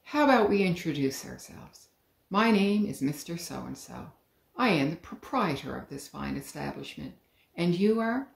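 A woman's voice: lowish voice, lively intonation, normal speed, gravelly. A woman voicing an eccentric, dramatic male shopkeeper who makes prim pronouncements in a storybook reading. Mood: grand. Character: eccentric theatrical male shopkeeper, middle-aged. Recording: roomy living room.

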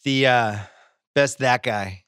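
A man's voice: medium pitch, takes it slowly, a bit bright, smooth, no echo. A middle-aged man hosting a casual movie podcast, speaking close to a studio mic. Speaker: podcast host. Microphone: broadcast mic on a boom arm, close.

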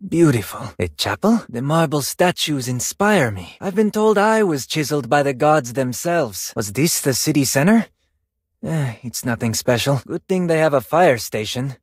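A man's voice: mid-range voice, very animated, normal speed, breathy edge, no room sound, clean studio sound. A man voicing a vain, graceful Spanish male ballet dancer for a video game, theatrical and self-admiring, with a Spanish accent. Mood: musing, elegant. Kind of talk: video game character voice lines.

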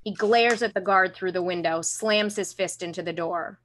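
A woman's voice: fairly low pitch, normal speed, fairly bright tone, a little hiss or hum. Young woman reading stage directions. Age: young adult. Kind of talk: scripted narration. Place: room at home, video call.

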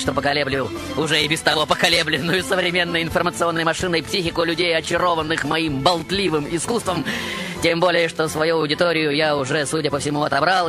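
A man hosting a radio show with a theatrical monologue, grandiose and playful in his delivery. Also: a little high. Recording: radio show over prominent music. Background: music.

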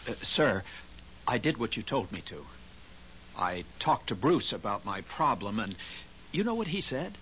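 A needy, timid fellow who defers to his boss in a 1970s radio play. His tone is bright and edgy, with very mobile intonation. Faint hiss sits behind him. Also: fairly low pitch, very animated, unhurried, long pauses, rough recording. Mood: hesitant, hopeful. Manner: hesitant, deferential. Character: timid, dependent, indecisive man pleading with his superior. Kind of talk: 1970s radio drama acting. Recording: hissy 1970s radio broadcast recording.

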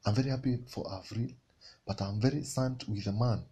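A man with a dark, gravelly and very husky voice, chatting in a loose gossipy way. He is filmed close on a phone selfie camera. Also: medium pitch, a little fast. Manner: casual, gossipy. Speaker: comedian.

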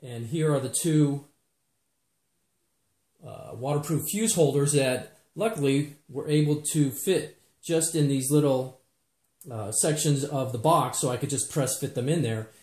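An echoey indoor recording of a man presenting a how-to project. His voice sits at an average male pitch. He explains each step in a plain, methodical way, like a practical instructor. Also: a little slow, darkish tone. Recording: echoey room.

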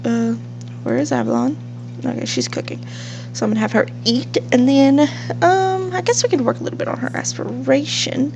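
A woman's voice: sits high, vocal fry. Sniffly young woman chatting over gameplay. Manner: chatty, rambling with fillers. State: sniffly from a cold. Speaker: gaming channel host. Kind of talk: casual let's-play commentary. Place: home room with a fish tank. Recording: faint hum from fish tank.

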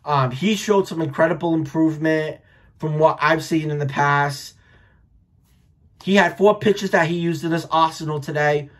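A man's voice: fairly high pitch, normal speed, a bit bright. Blunt, sweary American man in his thirties. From Rhode Island, United States. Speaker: sports vlogger, baseball fan. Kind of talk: casual post-game reaction commentary. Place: small furnished room at home.

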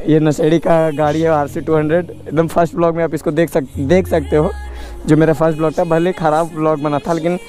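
A young man with a hoarse, strained voice, chatting casually to viewers while riding a motorbike outdoors. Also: a little high, warm tone. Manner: chatty, addresses viewers directly. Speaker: motovlogger. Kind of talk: casual motorcycle vlog narration. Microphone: helmet-mounted action camera.

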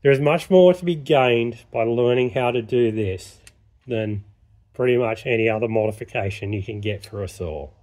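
A man speaks plainly and practically while working at a workshop bench. His voice sits at an everyday mid pitch, and he explains each step in a relaxed, no-nonsense way. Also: normal speed. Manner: plain-spoken, matter-of-fact, practical. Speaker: chainsaw sharpening instructor. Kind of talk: casual workshop demonstration.

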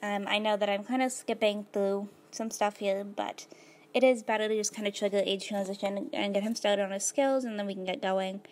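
A woman of middle pitch talks her way through a life-simulation game in an easygoing, rambling manner, full of "um" and "like". Her voice is a little hoarse, as if she is losing it. She sounds close to a desktop mic. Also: fairly even intonation, a little fast. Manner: rambling, filler-heavy, laid-back. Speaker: gaming video host. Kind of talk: casual gameplay commentary.

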